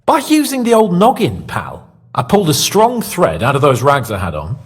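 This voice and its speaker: An actor playing a cocky, excitable young nobleman in a video game, with a husky voice and a theatrical manner. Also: fairly high pitch, normal speed, full character voice. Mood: cocky, boastful. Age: young adult. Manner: theatrical, animated.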